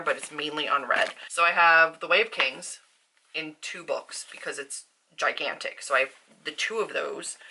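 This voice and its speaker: Bright-voiced woman chatting about casually. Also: deep voice, a little hiss or hum, low-quality recording. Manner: informal, slangy, self-deprecating. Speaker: book vlogger. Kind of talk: casual chat to viewers.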